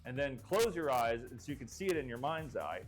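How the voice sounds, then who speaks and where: A man hosting a live stream game show, rambling and jokey with many restarts, his voice getting hoarse. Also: medium pitch, big pitch swings, fairly quick, soft background music. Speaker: host.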